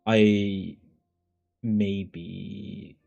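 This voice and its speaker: A man chatting casually to viewers as a live streamer, halting and thinking aloud as he goes. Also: fairly low pitch, level tone, vocal fry, dark tone, muffled recording, soft background music. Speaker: live streamer reading chat.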